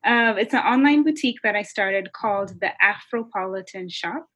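A woman from Kenya, a guest entrepreneur, telling her story in a lively, conversational way. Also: medium pitch, normal speed, no room sound.

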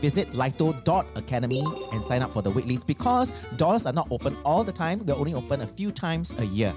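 Low-voiced woman, lively podcast co-host. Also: fast, over music. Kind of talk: casual chat.

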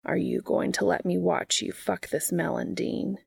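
A woman voicing a forward, unashamed man who is calm, matter-of-fact and teasingly flirtatious, in a read-aloud story. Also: on the low side, normal speed, vocal fry, breathy edge, dry recording, exaggerated voice. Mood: reassuring, flirtatious. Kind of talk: fan fiction character dialogue.

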